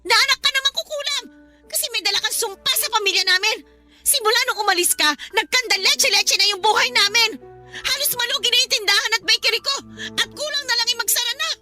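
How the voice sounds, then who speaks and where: A woman's voice: very high voice, quick pace, bright, forceful tone, soft background music, full character voice. A woman playing a spiteful middle-aged aunt in a Tagalog radio drama, scolding and blaming with bitter scorn. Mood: furious accusing. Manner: accusing, scolding, emphatic.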